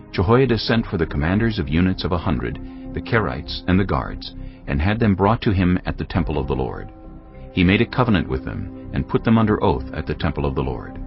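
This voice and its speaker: A man narrating scripture in a steady, measured reading for a dramatized audio Bible. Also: deep voice, creaky, dull audio.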